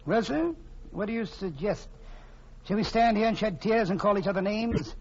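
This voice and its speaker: Genteel older villain for vintage radio play: jovially menacing, florid, highish creaky voice, muffled recording. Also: male voice, moderate pace, darkish tone. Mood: courtly, resolute. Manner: florid, formal, courtly, chuckling speech. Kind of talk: 1940s radio drama acting. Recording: muffled, faintly noisy old radio recording.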